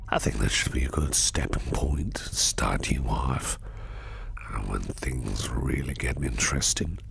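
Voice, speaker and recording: An extremely deep, heavily gravelled villain boss murmurs in a close, breathy ASMR roleplay. He takes his time, silky and flirtatious with a predatory menace under the teasing. A faint hiss sits behind the voice. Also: a bit bright, full character voice. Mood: silky approval. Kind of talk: intimate ASMR roleplay, close and breathy, often half-whispered.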